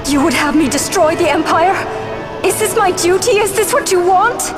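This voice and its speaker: A woman's voice: very high-pitched, moderate pace. An actress voicing a proud, troubled young royal woman in a video game, with intense, formal dramatic delivery. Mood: anguished. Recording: loud music behind the voice.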